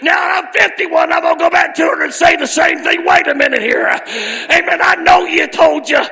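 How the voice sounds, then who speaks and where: High-pitched shouting preacher in a hall. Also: male voice, normal speed, vocal fry, a faint crowd. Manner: punctuates phrases with "uh" and "amen". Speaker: Pentecostal Holiness preacher. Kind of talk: fiery revival sermon. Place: large indoor church service. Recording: echoey church hall, long reverb.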